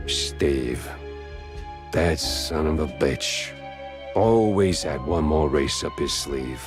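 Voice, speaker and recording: An actor playing a world-weary private detective and war veteran in a noir game, deadpan and sardonic. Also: male voice, rumbling low, music in the background, exaggerated voice. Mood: weary, brooding. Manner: deadpan, sardonic, slow drawl. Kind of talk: noir game narration and dialogue.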